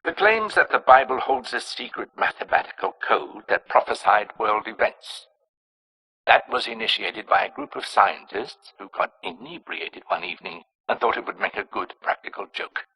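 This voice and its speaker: Gravelly, disdainful erudite insider. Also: male voice, fairly low pitch, big pitch swings, moderate pace, pushed and bright, heavily acted, rough recording. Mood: wry disapproval. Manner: formal, precise, wry. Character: erudite, disdainful male insider revealing dark secrets. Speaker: informant character in a video game. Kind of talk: scripted confessional monologue.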